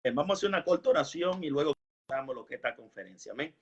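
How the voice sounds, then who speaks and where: A man's voice: on the high side, expressive, very fast, a crowd in the background. A man hosting a religious program in a devout manner, with exclamations of praise, heard through dull, lo-fi sound. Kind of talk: religious hosting, prayer and scripture reading.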